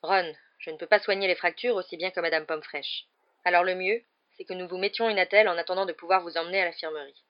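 A woman voicing a calm, measured, quietly firm man in a storybook. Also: lowish voice, highs cut off, natural voice. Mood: composed. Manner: peaceful, composed.

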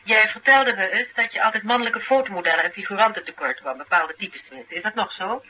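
Actress as shrewd woman investigator with a bright, forceful voice. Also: mid-range voice, fast talker, close to an everyday voice. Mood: persuasive, casual. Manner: questioning, dry, colloquial. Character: shrewd, probing female investigator, cool and direct. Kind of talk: naturalistic radio drama acting. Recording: noisy radio drama recording.

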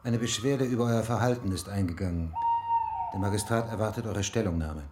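Radio play role: a man as a clipped, self-important young clerk, cheeky, slightly low-pitched, over noticeable background noise. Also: fairly quick, natural voice. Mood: officious. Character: officious, insolent young town scribe. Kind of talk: German radio drama acting.

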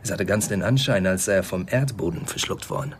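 An actor playing an affable but guarded male embassy official in a naturalistic radio play, speaking politely and in a measured way. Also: sits low, lively intonation, slight background noise. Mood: matter-of-fact, guarded. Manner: polite, measured, stumbling over a foreign place name.

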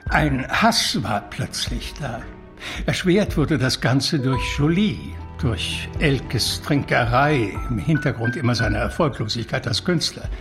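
Creaky, expressive cultured man confessing. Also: medium pitch, takes it slowly, over music. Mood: earnest, troubled. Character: cultured art-world doctor, anguished, self-justifying witness. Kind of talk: German radio drama acting.

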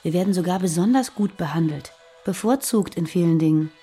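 A woman's voice: on the low side, fairly quick, dark tone, breathy edge, soft background music. An actress playing a guarded, earnest woman on the run in a naturalistic radio play. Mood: insistent. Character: guarded, frightened fugitive terrorist woman living under false names. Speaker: radio drama actor.

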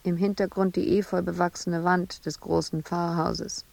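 A woman's voice: lowish voice, level tone, normal speed, creaky, darkish tone, no room sound. An actress playing an embittered, intense woman sculptor confined in an asylum, in a radio drama monologue. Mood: brooding, bitter.

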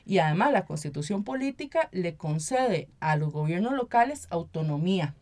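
A woman's voice: on the low side, expressive, moderate pace, a little mellow. A Costa Rican woman giving earnest, explanatory interview answers as an activist, often checking in with short tag questions. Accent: Costa Rican Spanish. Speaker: interview guest, environmental activist and municipal council member.

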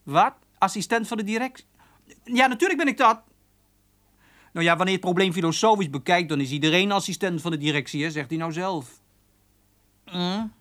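A man's voice: high-pitched, a little fast, pauses often, fairly bright tone. An actor playing a cheeky, eager young office boy in a radio drama, chatty and often hesitant.

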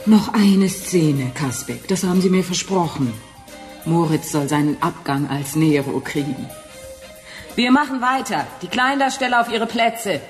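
A woman acts a cool femme fatale schemer in a sci-fi radio drama. Her voice is slightly low, poised and falsely polite. Music plays faintly underneath. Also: a little slow, natural voice. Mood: confident. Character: cool, seductive, scheming female mastermind posing as secretary. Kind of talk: German radio play acting.

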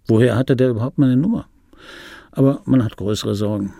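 A middle-aged man with a mellow, gravelly voice recorded close and dry. He muses aloud as a wry, world-weary dubbing actor in a radio play. His delivery is laconic and intimate, like private reminiscing. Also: medium pitch, fairly quick, no room sound. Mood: dry, ironic. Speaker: radio play actor, first-person narrator.

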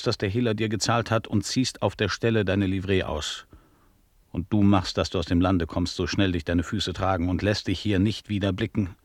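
A worldly police lieutenant played by a man in a radio drama, speaking with wry authority. His voice is very low, his manner commanding and ironic, and the sound is close and dry. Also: dry recording, natural voice. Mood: stern. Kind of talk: German radio play acting.

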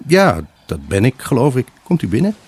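An actor playing a wistful, evasive husband left alone, in a halting, pensive radio drama monologue. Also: male voice, medium pitch, huge pitch swings, a little mellow, husky. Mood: guarded, prickly. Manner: halting, pensive, addressing an unseen buyer. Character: wistful, evasive abandoned husband selling his house.

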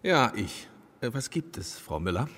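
Eccentric self-important guard, radio drama: halting, doom-minded, wildly varied pitch, big echoing space. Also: male voice, very animated, fairly bright tone. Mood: rambling, insistent. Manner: halting, rambling, pompous. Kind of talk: German radio play acting. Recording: long reverb of a large hall.

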